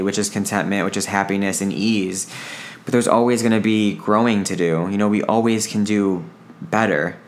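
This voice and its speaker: A man's voice: lowish voice, a little hiss or hum. A man in his twenties talking in an earnest, reflective way on a casual podcast, often repeating his phrases. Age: 20s.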